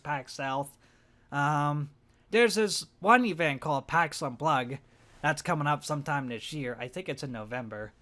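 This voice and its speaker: Hoarse, stuffed-up young man vlogging. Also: fairly high pitch, a bit bright. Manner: rambling, hesitant, self-conscious. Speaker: gaming channel vlogger. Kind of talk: casual monthly vlog.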